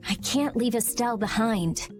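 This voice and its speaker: A woman's voice: on the high side, normal speed, over music, lightly acted. A woman voicing a prickly teenage girl mage in video game dialogue, curt and blunt with a caring side. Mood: conflicted.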